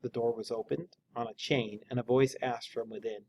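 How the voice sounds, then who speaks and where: A man's voice: medium pitch, steady tone, moderate pace, darkish tone, a little hiss or hum. A man speaking as an English teacher, reading a novel aloud and explaining it informally.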